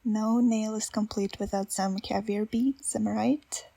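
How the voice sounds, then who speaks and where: Woman, Russian accent, gentle ASMR voiceover, a touch of vocal fry, dry close mic, self-deprecating. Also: mid-range voice, fairly even intonation, measured pace, no room sound. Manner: soft, self-deprecating, gently chatty with little laughs. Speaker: nail art creator. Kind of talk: soft-spoken ASMR voiceover.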